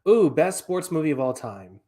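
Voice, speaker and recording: A man in his twenties co-hosting a casual podcast, giving relaxed, dry opinions. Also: on the high side, moderate pace. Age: 20s. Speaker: podcast co-host.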